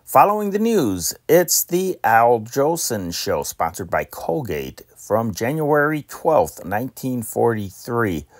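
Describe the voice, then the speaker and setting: Man, middle pitch, casual scripted host delivery, dry close mic. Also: sing-song, takes it slowly, hardly any pauses, no room sound. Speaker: host introducing old radio recordings.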